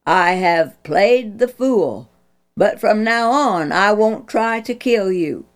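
A woman's voice: mid-range voice, lively intonation, slow, voice pushed up. A woman voicing a remorseful male king conceding in a storybook reading. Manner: repentant, conceding.

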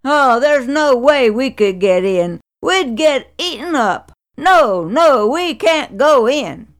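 A woman's voice: high-pitched, animated, slow, pitched above the performer's own voice. A woman voicing a band of frightened male spies in a storybook reading, pleading and alarmed. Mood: fearful.

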